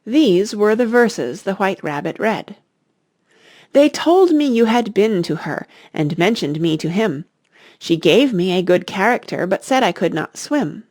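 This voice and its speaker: A woman reading a classic children's story aloud in an expressive narrating style. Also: mid-range voice, measured pace, no echo, clean studio sound. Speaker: audiobook reader.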